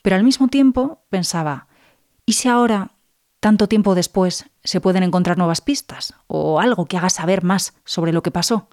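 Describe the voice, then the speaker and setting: A woman's voice: fairly low pitch, normal speed, a little mellow, lightly acted. A woman playing a murder victim's earnest, grieving sister, giving documentary-style testimony and insisting on justice. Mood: wistful, doubtful.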